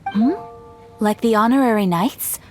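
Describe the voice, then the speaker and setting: A woman's voice: fairly high pitch, slow, darkish tone, faint music behind. A woman voicing a polite young guild receptionist who speaks in a courteous, formal way. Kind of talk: game voice acting.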